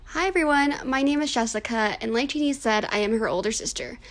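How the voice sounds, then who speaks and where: A woman's voice: a little high, fairly quick. A woman in her early twenties, a native Cantonese speaker raised in the United States, earnestly reading prepared answers in a class project interview. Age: 20s. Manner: earnest, scripted, reflective.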